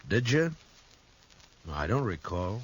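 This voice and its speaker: An actor playing a calm, steady frontier marshal who also narrates, in a 1950s radio drama. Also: male voice, on the low side, husky, exaggerated voice, rough recording. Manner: calm, firm, measured. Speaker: narrator and lead. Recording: old radio broadcast with music bed.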